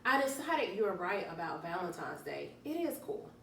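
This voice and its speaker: A woman voicing an earnest, easily worried young male cat in an expressive children's storybook read-aloud. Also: lowish voice, normal speed, gravelly, heavily acted. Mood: pleased. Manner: exclamatory, expressive. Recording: roomy, distant mic, faint noise.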